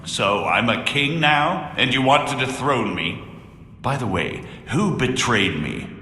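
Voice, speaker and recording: A man's voice: medium pitch, lively intonation, unhurried, sharp tone, a little hiss or hum. An actor playing a shrewd, sardonic outlaw nobleman, a medieval villain in a video game, with a theatrical delivery. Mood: mocking. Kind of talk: video game cutscene acting. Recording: very echoey hall-like reverb.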